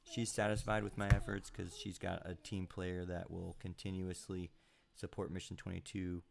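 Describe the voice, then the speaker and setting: Deep gravelly congested man. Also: level tone, moderate pace, noisy background. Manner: rambling, many fillers and chuckles. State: sounds congested. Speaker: live stream host. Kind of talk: casual live-stream chat. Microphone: home computer mic with high gain.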